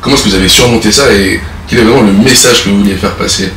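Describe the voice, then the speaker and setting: A man asking formal interview questions in a polite, measured way. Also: fairly low pitch, gravelly. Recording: roomy echo, distant mic, faint noise.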